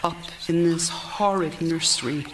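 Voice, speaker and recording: A woman's voice: sits low, measured pace. A woman playing an anxious, meek young wife in live period radio theater, with fretful, formal old-fashioned diction. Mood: confiding, melancholy. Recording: live theater recording, clear background noise.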